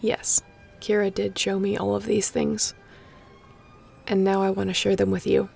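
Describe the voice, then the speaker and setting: A woman acts out a snarky space captain with a very creaky, mid-pitched voice. She is guarded yet affectionate and speaks casually and teasingly, as in an intimate sci-fi audio drama. Faint music plays underneath. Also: completely flat delivery, a little slow, darkish tone, heavily acted. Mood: playful, eager. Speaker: voice actor in a sci-fi audio roleplay. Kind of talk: intimate audio roleplay acting.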